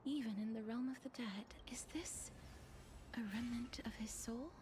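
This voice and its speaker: Woman as soft, breathy, solemn maiden of death. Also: a little high, noisy background, lightly acted. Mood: sorrowful. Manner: breathy, measured, formal, soft-spoken.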